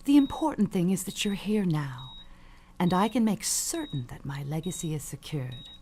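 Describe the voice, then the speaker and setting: A woman's voice: on the low side, sing-song, moderate pace, warm tone, slight background noise. An actress playing an elderly, dying perfume mogul, an imperious and cutting mother with genteel, falsely sweet manners. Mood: quietly scheming. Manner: genteel, commanding, condescending endearments. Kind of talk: audio suspense drama acting.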